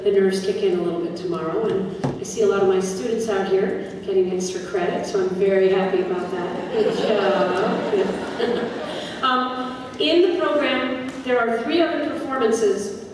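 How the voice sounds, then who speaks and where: A woman speaks as a drama director making informal announcements to her audience. Her manner is conversational and her pitch is average. She is recorded from far off in a reverberant hall with faint noise. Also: fairly even intonation, moderate pace. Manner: chatty, conversational. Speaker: drama teacher and director introducing the show. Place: school theatre stage, distant microphone. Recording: echoey auditorium from far off.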